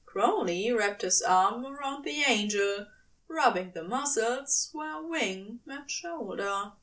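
High-voiced woman narrating fiction, unhurried. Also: animated, a bit bright, recorded from a distance. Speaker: podfic narrator.

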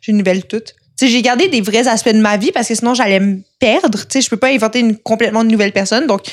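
A woman's voice: on the high side, fast talker. A young woman, telling her own story frankly as a podcast guest, laughing easily. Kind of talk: casual conversational storytelling.

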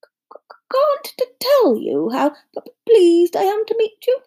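A woman's voice: extremely high pitch, huge pitch swings, a little slow, dark tone, voice pushed up, heavily acted. A woman voicing a nervous young male professor with a heavy stutter and a trembling, gasping delivery in an audiobook. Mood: nervous, flustered.

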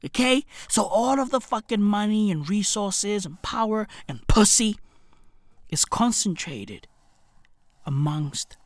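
A comedian from Africa, delivering an emphatic, repetitive rant to camera, heavy with swearing and dropping at times to a whisper. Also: male voice, high voice, dry recording, clean studio sound. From South Africa. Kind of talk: ranting monologue to camera.

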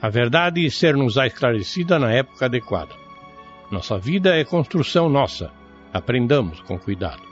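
A man's voice: medium pitch, big pitch swings, moderate pace, faint music behind. Solemn Brazilian man, dull recording. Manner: solemn, reflective. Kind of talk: scripted moral commentary in a radio drama.

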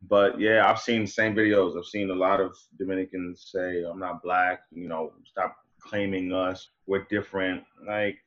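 Male rap artist guest; very deep, easygoing, slightly muffled. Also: level tone, moderate pace, hardly any pauses, a bit bright. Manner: laid-back, conversational, slang-heavy. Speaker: hip-hop artist guest. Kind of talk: casual interview chat.

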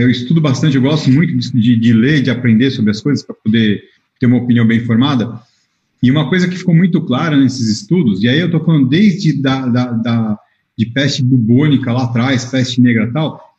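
A man's voice: medium pitch, darkish tone. Thoughtful man in an echoey room on a muffled video call. Suits a reflective panelist. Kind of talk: casual chat.

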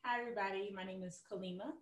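A bubbly woman in her thirties talks in an animated way and laughs easily. Her voice has a mid pitch and carries an echoey room sound. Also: rapid-fire. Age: 30s. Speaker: co-host. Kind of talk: casual panel chat.

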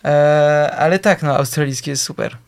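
Young man, smooth clear tone, hesitant speech, many fillers. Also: fairly high pitch, moderate pace. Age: young adult. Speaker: guest, musician. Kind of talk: casual interview talk.

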